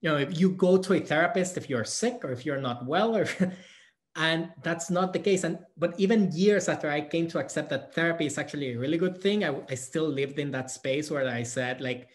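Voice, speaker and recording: A man from Guatemala answering audience questions at a conference session, thoughtful and halting, with many fillers and restarts. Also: on the high side.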